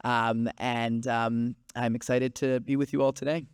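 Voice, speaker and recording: A man's voice: mid-range voice, flat delivery, unhurried, a little hiss or hum. A young man, a student panelist, introducing himself hesitantly with many fillers. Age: young adult. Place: conference stage.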